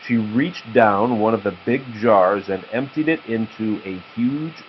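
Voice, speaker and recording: A man's voice: on the low side, a little slow, slight background noise. Man reading aloud, dull home recording. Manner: patient, stops to explain words and answer questions. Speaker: father reading a picture book to his children. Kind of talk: read-aloud storytelling with asides to children.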